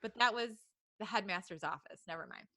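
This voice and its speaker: A woman with a bright voice and a close, dry sound reads a story aloud like a podcast co-host among friends. She is playful and giggly, happy to wander off into casual banter. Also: mid-range voice, expressive, brisk, vocal fry, no echo. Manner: playful, giggly, digresses from the reading. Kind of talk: casual read-aloud of fan fiction with banter.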